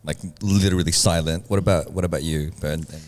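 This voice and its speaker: A man's voice: low-pitched, steady tone, fast talker, long reverb tail. A man hosting a podcast with joking, mock-dramatic casual banter. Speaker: podcast host.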